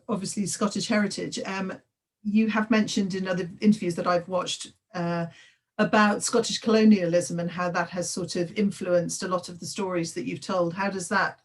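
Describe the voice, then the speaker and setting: A woman interviewing an author at a literary festival, asking questions politely and in a measured way. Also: fairly low pitch.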